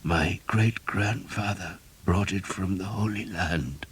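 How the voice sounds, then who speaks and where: Guy doing a dying old priest, barely whispering, super deep and slow. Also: warm tone, husky, exaggerated voice. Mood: weak whisper. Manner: faint strained whisper. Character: dying old male priest, weak and grieving. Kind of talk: audiobook character reading.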